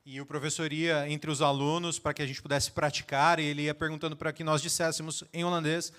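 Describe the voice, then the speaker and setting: A man interprets a sermon live, phrase by phrase, right after the preacher. He speaks very quickly in a slightly high voice, briskly echoing each line as it comes. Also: level tone, a bit bright, clear, resonant tone. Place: church auditorium.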